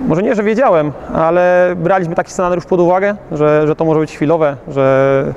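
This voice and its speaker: A man in his thirties coaching youth football, speaking after a match in a slightly high voice. He stops often with fillers and restarts his phrases. A faint hum of the outdoors sits behind him. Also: moderate pace, a little mellow, lo-fi sound. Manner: hesitant, many fillers and restarts. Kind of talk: post-match interview. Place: outdoors beside a covered pitch.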